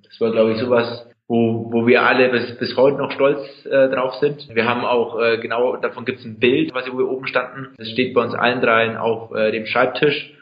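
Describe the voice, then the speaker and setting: A man in his twenties who organizes an event, answering interview questions in a measured way with restarts and hesitations. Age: 20s. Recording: echoey, dull low-fi sound.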